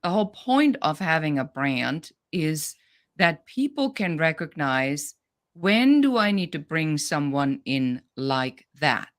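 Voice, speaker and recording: Direct German-accented woman, low voice. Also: slow pace. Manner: direct, no-nonsense, animated. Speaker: guest, business coach. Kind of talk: podcast interview.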